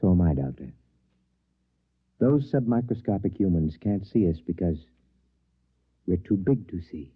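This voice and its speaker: An actor playing a humble, thoughtful professor in a 1950s radio drama, speaking gravely and formally. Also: male voice, deep voice, expressive, measured pace, long pauses, no room sound, full character voice. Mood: earnest. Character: humble, reflective male professor and scientist. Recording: muffled old radio recording.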